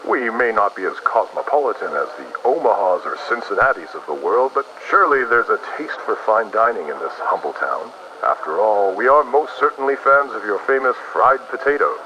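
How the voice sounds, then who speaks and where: An actor playing a nervous, scheming, overly formal school principal in a 1930s-style radio play, prim, flowery and evasive. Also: male voice, fairly low pitch, sing-song, a little fast, few pauses. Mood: anxious, polite. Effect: old-time radio filter. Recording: muffled 1930s-style radio with faint noise.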